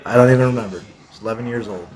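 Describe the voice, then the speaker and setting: A man's voice: mid-range voice, rapid-fire, darkish tone. A man in his forties from Texas, a soldier talking about his life in a casual podcast chat, blunt and jokey, swearing freely. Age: 40s. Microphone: podcast mic.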